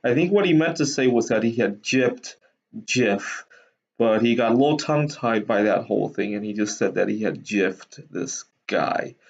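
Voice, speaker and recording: A man from Taiwan casually telling personal anecdotes to viewers in a hesitant, halting way with frequent ums. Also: lowish voice, expressive, creaky. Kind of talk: casual rambling storytelling. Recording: dull lo-fi sound.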